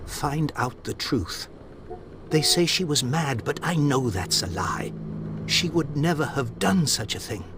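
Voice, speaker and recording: An actor playing a proud, grieving old industrialist in a period mystery game, speaking formally and earnestly. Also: male voice, fairly high pitch, expressive, unhurried. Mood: pleading, earnest. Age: older. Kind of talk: video game voice acting, period mystery drama. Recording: faint background noise.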